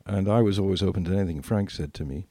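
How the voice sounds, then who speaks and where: Older man, British accent, mellow dark tone, heavy vocal fry, podcast reminiscence, emphatic repetition. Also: sits low, fast, no room sound, clean studio sound. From Britain. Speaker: podcast co-host.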